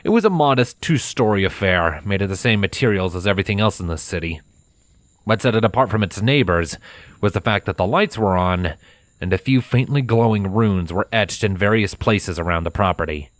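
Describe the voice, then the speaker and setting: A man with a deep voice reads fiction as a narrator. His delivery is scripted and dramatic, recorded dry and close. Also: lively intonation, brisk, dry recording.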